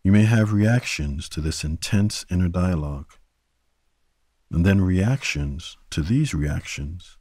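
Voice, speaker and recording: A man guiding a sleep meditation in a gentle, soothing manner, leaving long pauses between short phrases. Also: low-pitched, lively intonation, no echo, studio quality. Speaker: meditation guide. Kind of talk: guided sleep meditation narration.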